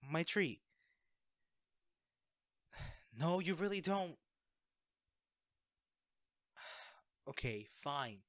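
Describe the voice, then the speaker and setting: A man's voice: fairly high pitch, sing-song, very slow, long pauses, a bit bright, no room sound, heavily acted. Hesitant man acting a secret superhero, very dry recording. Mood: awkward, evasive. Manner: hesitant, stammering, evasive. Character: secret superhero hiding injuries, awkward, self-effacing, affectionate best friend. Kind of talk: audio roleplay drama, one-sided conversation.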